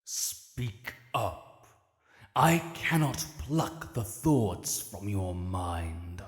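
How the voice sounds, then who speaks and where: A British man voicing an ancient, imperious male dragon in a fantasy audio roleplay, speaking formally and commandingly in a gravelly voice. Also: big pitch swings, heavily acted. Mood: suspicious, imperious. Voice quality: low, gravelly. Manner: formal, commanding, haughty, deliberate archaic phrasing. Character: ancient, imperious male dragon lord, proud, quick to righteous anger. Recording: cavernous reverb, long echo.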